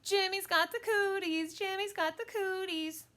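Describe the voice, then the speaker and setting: A woman's voice: high-pitched, sing-song, unhurried, a bit bright, voice pushed up, full character voice. A woman voicing a bossy, eager, cocksure young girl in a children's audiobook, with a pushy manner. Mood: teasing. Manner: pushy, pleading, sing-song teasing.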